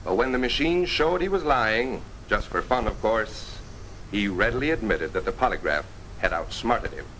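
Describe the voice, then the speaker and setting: A man reading scripted 1950s training film narration in a formal, earnest, measured storytelling manner. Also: on the low side, expressive, bright, forceful tone. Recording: noisy 1950s film soundtrack.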